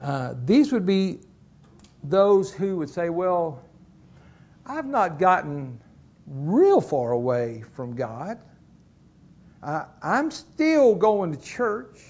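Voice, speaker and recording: Pastor, middle-aged man, folksy storyteller from the pulpit, high voice, slightly muffled lo-fi recording. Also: very animated, drawn-out words, long pauses, darkish tone. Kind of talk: sermon. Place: church.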